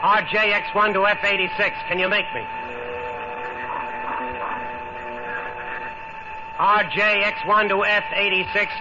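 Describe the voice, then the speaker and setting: A man's voice: high-pitched, lightly acted. An actor playing a brash test pilot alone in his rocket ship in a 1950s radio drama, with dramatic, clipped delivery. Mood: urgent, calling out. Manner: dramatic monologue, clipped radio calls and hushed pauses. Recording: dull, hissy 1950 radio recording.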